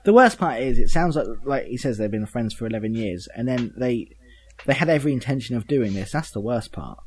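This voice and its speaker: A man hosting a casual podcast discussion, with a slightly husky voice. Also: medium pitch, animated, a little fast, a little hiss or hum. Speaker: podcast host. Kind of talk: casual panel chat about games news.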